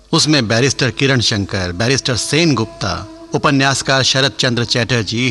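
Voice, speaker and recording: A man's voice: mid-range voice, sharp tone. A man acting an earnest, persuasive political colleague in a Hindi radio play, formal and declamatory.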